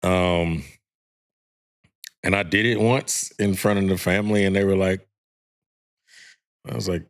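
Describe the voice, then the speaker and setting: Guy with a real low heavy baritone, laid back, rambles with lots of "like". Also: steady tone, a little slow. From Houston, Texas. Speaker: guest singer. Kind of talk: casual podcast chat.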